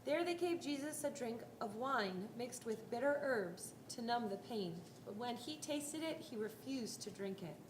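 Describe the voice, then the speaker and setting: High-voiced woman narrating in a roomy hall. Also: expressive, measured pace, few pauses, background noise. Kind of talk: dramatic scripted Bible narration. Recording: roomy live hall, faint music.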